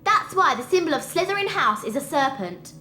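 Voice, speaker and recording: A woman's voice: high-pitched, very animated, a little fast, sharp tone, heavily acted. An actress playing an earnest schoolgirl who explains things precisely, like a know-it-all. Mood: earnest, concerned. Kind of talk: video game voice acting.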